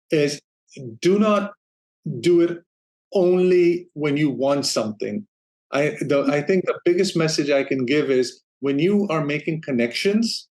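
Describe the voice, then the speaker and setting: A man from Denmark, around his forties, a guest in an interview, telling his career story in a relaxed, easygoing way with frequent restarts and easy laughter. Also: fairly high pitch. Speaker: guest, streaming operations manager. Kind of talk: casual interview talk.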